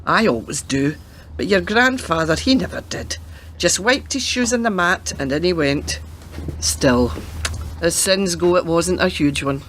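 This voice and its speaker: A woman's voice: fairly low pitch, lively intonation, unhurried, a bit bright, slight background noise. An actress playing a chatty, doting and cheeky old grandmother in a radio play, fussing and teasing as she talks.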